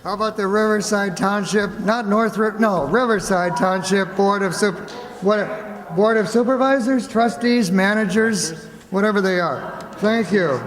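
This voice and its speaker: A man hosting a stage show, giving informal thanks to an audience in a halting, rambling, jokey way. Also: sits high, a crowd in the background. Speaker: host of a radio players' stage show. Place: stage in a hall before a live audience. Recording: echoey hall, audience, background noise.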